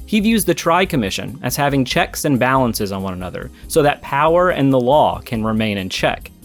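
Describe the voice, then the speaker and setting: A man delivers scripted video-essay commentary in a mid-pitched voice, with music playing underneath. His manner is irreverent and jokey, slipping into casual, sweary asides as he analyses game storytelling. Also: sing-song, moderate pace. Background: background music.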